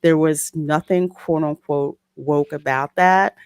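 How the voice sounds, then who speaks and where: A woman's voice: low voice, steady tone, slow pace, smooth, no echo. A woman hosting a podcast, talking casually and explaining her points in a conversational way. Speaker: podcast host. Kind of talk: casual discussion.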